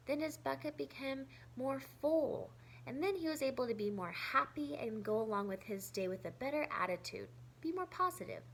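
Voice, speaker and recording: A woman's voice: a little high, a bit bright, a little hiss or hum, rough recording. A woman teacher reading a children's story aloud expressively, with simple asides explaining it to young listeners.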